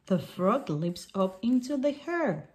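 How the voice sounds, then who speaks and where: A woman reading a picture book aloud for small children in simple, deliberate sentences. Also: medium pitch, big pitch swings.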